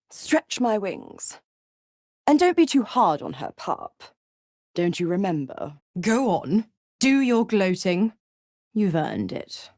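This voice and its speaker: Seductive female devil, mocking, theatrical, slow drawl, highly melodic, video game villain. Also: darkish tone, dry recording, studio quality. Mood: sly, commanding, then mock sympathy. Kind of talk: video game character acting.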